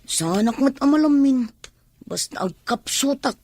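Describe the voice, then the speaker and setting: Woman acting a high, husky, queasy young Ilocana. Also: expressive, unhurried, a little mellow, full character voice. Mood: weak, complaining. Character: weak, queasy, dizzy young pregnant woman. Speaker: voice actor. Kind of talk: Ilocano radio drama acting.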